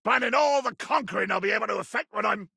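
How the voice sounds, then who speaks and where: Proud pirate captain, video game character, bright forceful delivery, husky rasp, pompous old seafaring diction, theatrical bluster. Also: male voice, sits high, fast, no echo, clean studio sound, full character voice. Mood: overjoyed. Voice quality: gravelly, raspy. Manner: pompous, grandiloquent, archaic seafaring speech.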